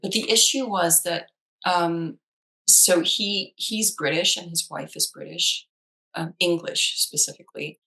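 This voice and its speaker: A woman's voice: fairly low pitch, fairly even intonation, unhurried, creaky, pushed and bright, poor audio. A woman whose first language is Danish, a psychologist in casual conversation, chatty with many fillers and restarts. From Denmark. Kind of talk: casual chat.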